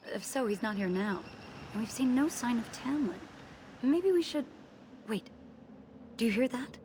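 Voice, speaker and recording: Young female elf, high voice, earnest, wary, grave, fantasy game dialogue, clearly noisy ambient background. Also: lively intonation, a little slow, a little mellow. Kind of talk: fantasy video game voice acting.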